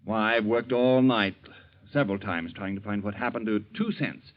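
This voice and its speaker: An actor in a 1940s radio drama playing a nosy young bank teller, casually probing and falsely helpful, on a muffled old recording. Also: male voice, fairly low pitch, moderate pace, natural voice.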